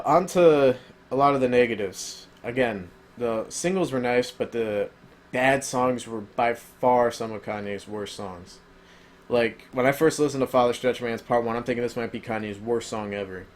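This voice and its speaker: A man giving a casual, rambling spoken review, full of ums and uhs, who says he is losing his voice. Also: medium pitch. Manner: rambling, filler-heavy, opinionated. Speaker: album reviewer.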